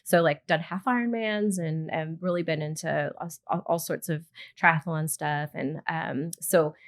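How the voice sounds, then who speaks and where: Woman interview guest, lower register, chatty and self-deprecating storyteller, conversational and casual. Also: fairly even intonation, measured pace. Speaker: podcast guest, plastic surgeon.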